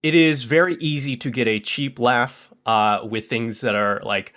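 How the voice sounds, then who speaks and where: A man in his thirties, a podcast guest, talking casually with halting restarts, self-deprecating humor and frequent laughs. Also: mid-range voice, steady tone, a bit bright. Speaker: podcast guest, content creator. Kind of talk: casual chat.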